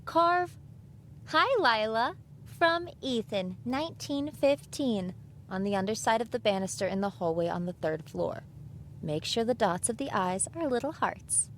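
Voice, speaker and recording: A woman's voice: a little high, lively intonation, a little slow, slight background noise. A woman playing a sceptical, sarcastic seventeen-year-old modern girl in an audio drama. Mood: sly, testing. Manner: sarcastic, dismissive.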